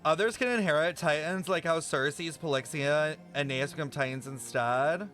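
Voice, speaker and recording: A young man streaming a game reaction, thinking out loud with excited speculation and casual swearing. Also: fairly high pitch, normal speed, faint music behind.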